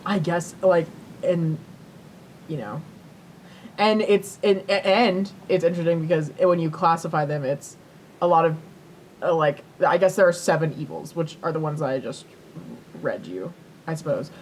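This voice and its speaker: A woman's voice: sits low, normal speed, pauses often, darkish tone, a little hiss or hum, low-quality recording. A woman co-hosting a podcast, casually retelling a myth in informal language with swearing and plenty of "like". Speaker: podcast co-host.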